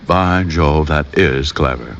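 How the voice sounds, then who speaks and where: An actor playing a breezy, wisecracking private detective with an American accent and glib, sardonic patter in old radio drama style. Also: male voice, a bass voice, takes it slowly, a bit bright, exaggerated voice. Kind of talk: 1940s-50s radio acting. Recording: dull old-time radio recording.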